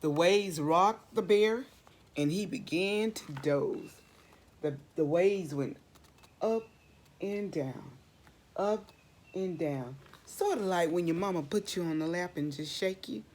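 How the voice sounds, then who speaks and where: A woman's voice: on the low side, slow, darkish tone. A woman reading aloud to children expressively, asking the listeners questions and repeating phrases for dramatic emphasis. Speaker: storybook read-aloud reader.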